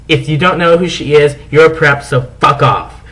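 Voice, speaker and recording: A man's voice: on the high side, normal speed, fairly bright tone. A man narrating fan fiction as a comic dramatic reading, theatrical and mock-serious.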